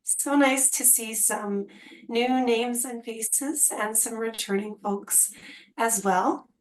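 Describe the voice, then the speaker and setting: A woman's voice: fairly high pitch, steady tone, low-quality recording. Woman speaking carefully with a strained voice. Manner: careful, deliberate enunciation. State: losing her voice. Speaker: associate dean hosting a webinar. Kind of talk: presenting an online information session. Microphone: video call.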